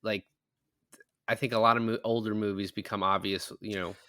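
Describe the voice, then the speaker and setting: A man's voice: on the low side, little pitch movement, moderate pace, sharp tone, smooth, no room sound, studio quality. A man in a casual film discussion, opinionated and emphatic, swearing freely as he makes his points. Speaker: film podcast panelist.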